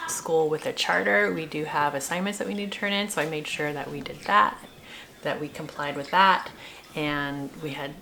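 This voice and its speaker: A woman's voice: low voice, vocal fry, bright, forceful tone, slight background noise. A middle-aged woman chatting casually to viewers in a rambling, self-questioning way, like a parent vlogger. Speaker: homeschooling mother and vlogger. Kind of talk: casual chatty vlog reflection.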